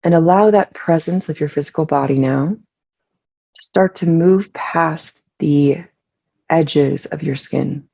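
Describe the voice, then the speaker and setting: A relaxed meditation guide speaks with soft, dark tone and a good deal of gravelly fry. The delivery is soothing and unhurried, leaving long pauses between phrases. The recording sounds slightly dull and lo-fi. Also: medium pitch.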